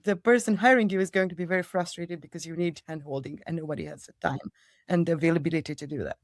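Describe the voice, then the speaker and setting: A woman's voice: lowish voice, lively intonation, quick pace, no room sound, clean studio sound. A middle-aged woman from Romania, her native language Romanian, answering interview questions at length, with emphatic repetitions and long digressions. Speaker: people operations director, guest.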